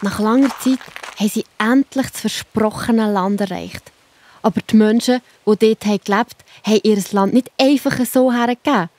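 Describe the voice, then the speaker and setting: A woman's voice: on the high side, moderate pace, darkish tone. A woman with a Swiss German accent narrating a scripted children's Bible story, reassuring and speaking straight to the young listener.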